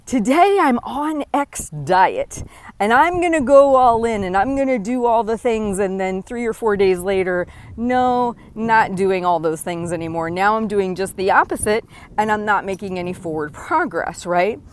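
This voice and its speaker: A woman in her forties hosting a podcast, talking earnestly and encouragingly straight to the listener, often checking in with a quick "right?". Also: medium pitch, animated. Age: 40s. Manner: earnest, encouraging, direct address with frequent "right?". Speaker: podcast host. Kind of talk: motivational solo talk.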